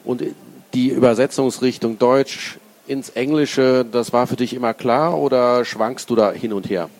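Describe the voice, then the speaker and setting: A man's voice: mid-range voice, unhurried, a little mellow. A man hosting an interview in a conversational way with hesitant fillers. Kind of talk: interview questions.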